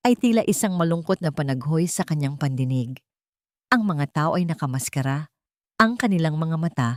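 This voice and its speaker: A woman with a fairly low voice narrates a dramatic story in a solemn, melodramatic way. The sound is dry, with very little room in it. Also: a little mellow, no room sound. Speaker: audiobook narrator.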